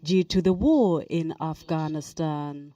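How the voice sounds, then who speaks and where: A woman interpreting on a panel, with a measured, steady delivery. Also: deep voice, recorded from a distance.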